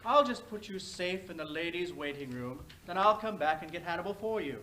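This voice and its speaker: Man as bright, coaxing grandson. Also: high voice, lively intonation, fairly quick, slight background noise, poor audio. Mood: soothing. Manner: coaxing, patronising. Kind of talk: old-time radio drama acting.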